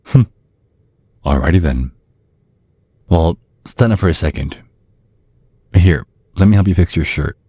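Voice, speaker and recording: A man acting a menacing, courteous old vampire father in an ASMR roleplay, speaking in measured, slyly threatening tones. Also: sits low, animated, mellow, husky, no echo, full character voice. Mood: veiled menace. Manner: measured, veiled threats under courteous small talk. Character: menacing ancient vampire father, polite, sly and controlling.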